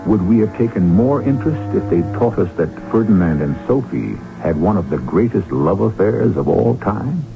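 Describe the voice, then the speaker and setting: A deep-voiced man introduces a radio drama as its host. He narrates in a measured, wry storytelling style, on a muffled vintage broadcast recording. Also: sing-song, vocal fry, over music. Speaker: host of a radio mystery series.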